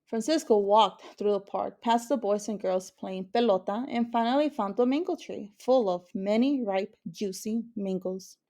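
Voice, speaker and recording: A woman's voice: medium pitch, normal speed, hardly any pauses, mellow. A woman reading a children's picture book aloud as a storyteller. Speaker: story reader.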